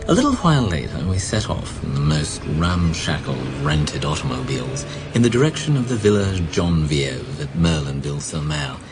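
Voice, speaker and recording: A genial gentleman in a radio drama. His low voice moves in lively swoops, with a naive, companionable temper. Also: normal speed, few pauses, over music. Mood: wry narrating. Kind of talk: radio drama narration and dialogue.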